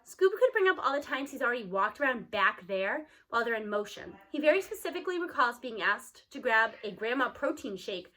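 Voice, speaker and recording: A woman reading a storybook aloud as narrator, expressively, with an animated manner for young listeners. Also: fairly high pitch, fairly quick, few pauses. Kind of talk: expressive storybook read-aloud with character dialogue.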